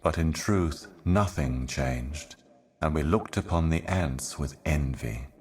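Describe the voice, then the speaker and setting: Game role: ancient eternal envoy of darkness, bottomless low voice, slow, solemn, portentous delivery. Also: male voice, a little mellow. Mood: grave, ominous. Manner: grave, brooding, measured, portentous. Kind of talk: scripted game monologue, cryptic poetic narration.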